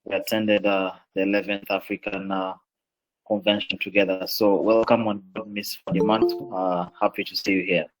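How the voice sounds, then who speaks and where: A man's voice: on the low side, level tone, normal speed, rough recording. A man in his late twenties with a Ugandan accent, introducing himself as a panelist. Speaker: panelist, aviation business development manager.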